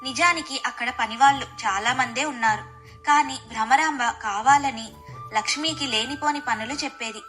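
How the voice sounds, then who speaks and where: A woman's voice: high-pitched, normal speed, sharp tone, faint music behind. A woman narrating a scripted moral bedtime story as the storyteller.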